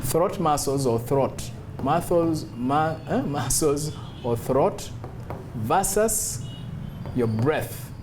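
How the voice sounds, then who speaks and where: A man's voice: on the high side, expressive, extremely slow, noisy background. A man giving an instructional talk as a public speaking coach, emphatic and demonstrative, repeating key phrases.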